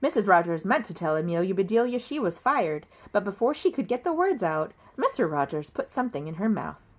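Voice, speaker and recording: A woman reads a children's story aloud. Her mid-pitched voice moves up and down dramatically, with a soft husky edge. The delivery is expressive storytelling. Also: fairly quick. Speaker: storybook reader.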